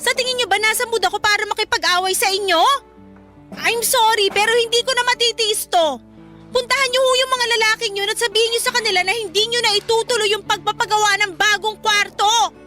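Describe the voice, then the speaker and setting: A woman's voice: extremely high pitch, fairly even intonation, a little fast, pushed and bright. A woman playing an exasperated, pleading grown child confronting a parent in an emotional Tagalog radio drama. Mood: firm, upset. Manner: emotional, respectful yet confrontational. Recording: music underneath.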